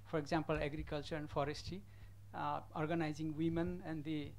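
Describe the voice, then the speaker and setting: A man from Nepal commenting from the audience at a conference, halting and full of fillers. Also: a little high, level tone, darkish tone. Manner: halting, many fillers and restarts. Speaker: audience member asking a question.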